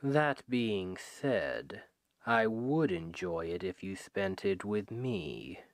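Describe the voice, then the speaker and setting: A man playing a suave, menacing, possessive demon king who woos his captive with slow, deliberate, softly condescending speech in an ASMR roleplay, close on the microphone. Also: fairly low pitch, no room sound, full character voice. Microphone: close microphone, dry.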